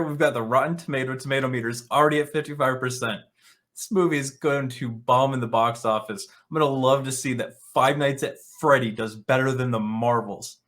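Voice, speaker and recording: A man's voice: medium pitch, fairly bright tone. A man hosting an online commentary show, reading articles aloud and reacting in a blunt, scornful, sarcastic and profane way.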